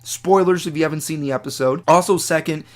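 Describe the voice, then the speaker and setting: A man with a hoarse voice, recovering from losing it, giving a casual, rambling spoken review to his viewers. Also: brisk. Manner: chatty and rambling, big pitch swings on emphasis. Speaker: video reviewer.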